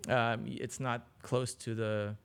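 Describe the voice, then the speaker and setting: A man, answering interview questions casually as a journalist, often pausing mid-sentence. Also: mid-range voice, level tone, creaky, clean tone. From Germany. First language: German. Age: 40s. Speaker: interview guest, business journalist.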